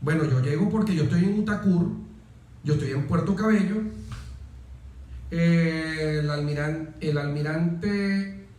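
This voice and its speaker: A man's voice: fairly high pitch, unhurried, pauses often, darkish tone. A middle-aged man with a Venezuelan accent, telling anecdotes as an interview guest, stressing points with repetition and rhetorical questions. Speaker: interview guest, military officer. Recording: very echoey, reverberant room.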